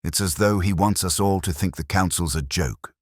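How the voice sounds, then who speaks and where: A man's voice: deep voice, moderate pace, no room sound, studio quality. A man voicing an earnest, deferential police sergeant in an audiobook mystery, plain-spoken and questioning. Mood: puzzled.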